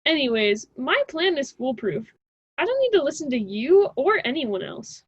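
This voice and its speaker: A woman playing a stubborn, cocky college student in an amateur radio drama, flippant and husky, on a dry, dull recording. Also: high voice, very animated, normal speed, no room sound. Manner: flippant, casual, argumentative.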